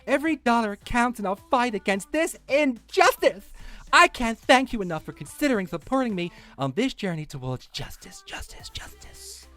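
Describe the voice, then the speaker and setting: A man's voice: extremely high pitch, sing-song, moderate pace, bright, forceful tone, soft background music, pitched above the performer's own voice, full character voice. A man doing a mock dramatic reading as a pompous, melodramatic fundraiser, pleading like a politician on the stump. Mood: earnest, grandiose. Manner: overblown, politician-like speechifying. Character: pompous, melodramatic crowdfunding appealer pleading for justice.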